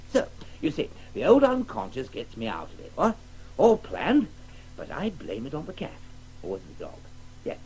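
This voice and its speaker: A man's voice: a little high, a little mellow. An actor playing a chatty, golf-mad father with an English accent in a 1980s radio drama, blustery and digressive, with "eh" and "what" tags. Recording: faintly noisy old radio broadcast.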